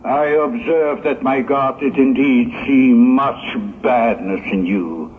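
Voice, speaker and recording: Bold doom-preaching prophet male actor, solemn, slow, declamatory; narrow, noisy vintage audio drama sound. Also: medium pitch, lightly acted. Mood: stern, denouncing. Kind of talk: 1960s religious audio drama acting. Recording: muffled, phone-like, noisy 1960s recording.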